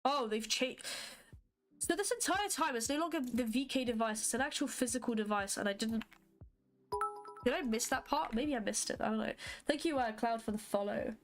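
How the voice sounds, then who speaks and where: A woman's voice: on the high side, animated, moderate pace, faint music behind. A woman whose first language is not English, casually chatting with viewers while live coding and thinking aloud with many fillers. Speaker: livestreamer coding a graphics engine.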